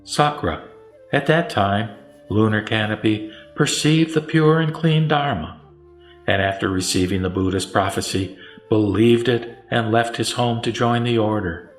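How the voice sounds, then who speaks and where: A man reciting scripture as a scripted narration, with measured, solemn phrasing. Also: on the low side. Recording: roomy echo, music underneath. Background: background music.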